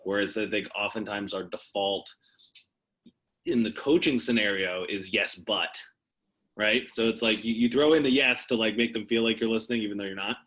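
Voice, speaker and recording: A man's voice: on the low side, moderate pace, a bit bright. A man taking part in a coaches' call, making his points in casual, explanatory discussion. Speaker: participant in a coaches' conference call.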